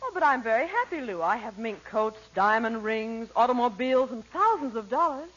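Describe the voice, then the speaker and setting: High-pitched English woman on vintage radio. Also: expressive, moderate pace, fairly bright tone. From England. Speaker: singer and cast member on a radio show. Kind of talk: scripted 1940s radio comedy banter. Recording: dull, band-limited 1940s radio recording.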